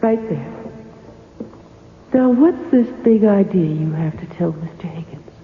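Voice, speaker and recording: An actress playing a clinging, lovelorn imaginary heroine of a novel, plaintive, coaxing and teasing, in a 1970s radio drama. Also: female voice, fairly low pitch, lively intonation, unhurried. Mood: insistent, prying. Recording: reverberant, narrow vintage radio, faint noise.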